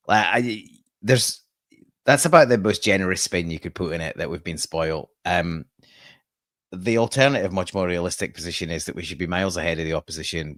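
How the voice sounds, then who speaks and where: A man's voice: low voice, fairly bright tone, dry recording, clean studio sound. A man from Australia, a guest on a football podcast, giving blunt opinions with frequent hesitations. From Perth, Australia. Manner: opinionated, hesitant with many ums. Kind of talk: casual football podcast chat.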